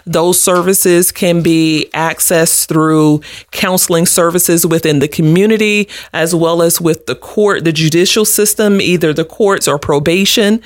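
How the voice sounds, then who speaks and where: A woman's voice: on the low side, steady tone, measured pace, hardly any pauses, dry recording. A middle-aged woman answering interview questions as an advocate, explaining things earnestly in a conversational way. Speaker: guest, domestic abuse advocate.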